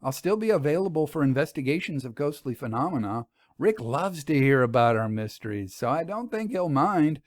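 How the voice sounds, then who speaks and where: Storybook role: detective's faithful young female assistant, male reader. Measured, reassuring, explanatory, with lively inflection. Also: a little high, dry recording, clean studio sound. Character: Lida, loyal, reassuring young woman, detective's assistant and narrator. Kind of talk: audio storybook first-person reading.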